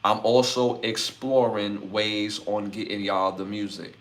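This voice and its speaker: A man in his forties hosting a live podcast, talking in an easygoing, casual way. His voice sits a little low, and he speaks loosely as he thanks viewers one by one. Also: steady tone, unhurried. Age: 40s. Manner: laid-back, shouting out viewers by name. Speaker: podcast host. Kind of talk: casual livestream sign-off chat.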